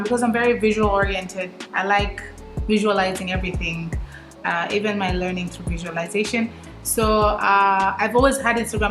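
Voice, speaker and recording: A woman's voice: mid-range voice, reverberant. A woman from Tanzania, an HR manager, talking animatedly as a guest and sometimes searching for words. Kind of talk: casual interview.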